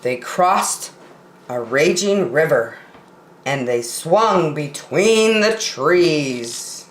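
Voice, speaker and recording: A woman reading picture books aloud expressively for children, with measured dramatic pauses, in a roomy space. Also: low voice, animated, a little hiss or hum. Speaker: children's story time reader.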